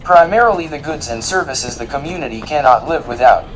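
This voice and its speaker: Man playing a precise, deadpan robot guide. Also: medium pitch, fairly quick, a little hiss or hum, natural voice, low-quality recording. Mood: deadpan, formal. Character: literal-minded, polite, formal male robot guide. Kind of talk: audio drama acting.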